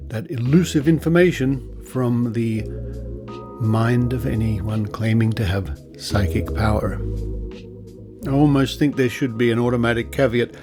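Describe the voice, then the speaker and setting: Deliberate Australian male podcast host over music. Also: fairly low pitch. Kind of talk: scripted podcast narration with asides. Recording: music behind the voice.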